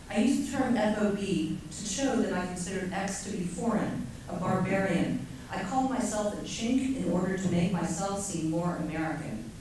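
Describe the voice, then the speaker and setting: A middle-aged woman poet giving a measured, deliberate reading of her own poem to an audience. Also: on the low side, dark tone, a little hiss or hum. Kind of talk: poetry reading. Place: lecture hall. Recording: echoey hall from far off.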